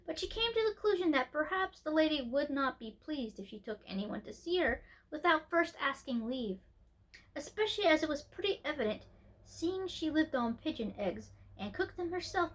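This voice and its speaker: A woman reading a children's story aloud as an expressive storyteller, occasionally stumbling over a word. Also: high voice, normal speed, rough recording. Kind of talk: scripted narration, storybook read-aloud.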